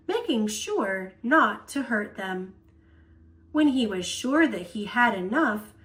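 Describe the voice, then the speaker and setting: A woman reading a children's picture book aloud as storyteller, with expressive delivery and playful emphasis. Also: a little high.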